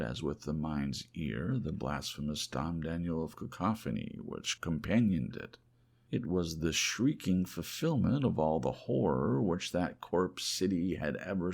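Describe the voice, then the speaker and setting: A man narrating a horror audiobook in a theatrical, deliberate, scripted manner. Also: on the low side, creaky.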